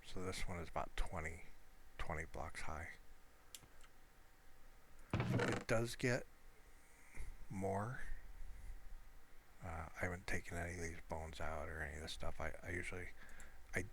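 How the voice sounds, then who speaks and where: A man with a very deep voice talks through a video game in a casual, low-key way. He speaks slowly and hesitantly, rambling as he goes. The recording has clearly audible noise behind him. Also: fairly bright tone. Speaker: gamer showing a Minecraft world. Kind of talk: casual gameplay commentary.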